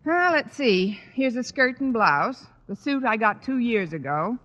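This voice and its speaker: A woman's voice: a little high, lively intonation, measured pace, a bit bright, big-room sound. A woman playing a sardonic English teacher with dry, wisecracking delivery in a 1940s radio sitcom. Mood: wry. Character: wry, sardonic single female English teacher. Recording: noisy, muffled, hollow old radio broadcast.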